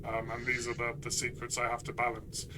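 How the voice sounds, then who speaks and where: A man's voice: medium pitch, monotone, fairly quick. A man chatting casually about his experience as a player in a tabletop game. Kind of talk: casual chat. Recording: clear background noise.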